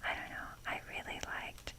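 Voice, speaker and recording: A young adult woman whispering in a breathy, casual, rambling ASMR style as she shows things off. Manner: unhurried, informal, rambling. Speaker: ASMR video creator.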